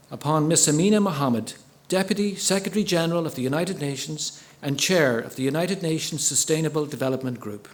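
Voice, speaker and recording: A man giving a formal, measured welcome speech as the head of a college at a ceremony. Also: fairly high pitch. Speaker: college chief executive opening a ceremony. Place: large indoor event venue, before an audience.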